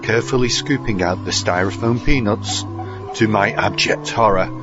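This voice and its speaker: A man's voice: fairly low pitch, moderate pace, natural voice. A man playing a grave, ominous teller of a mock horror story, melodramatic in manner. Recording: dull lo-fi audio over music.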